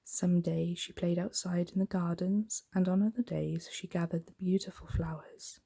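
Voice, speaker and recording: A woman reads a bedtime story in soft-spoken ASMR style. Her voice is low, mellow and breathy, often slipping toward a whisper. The delivery is gentle and unhurried. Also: fairly even intonation.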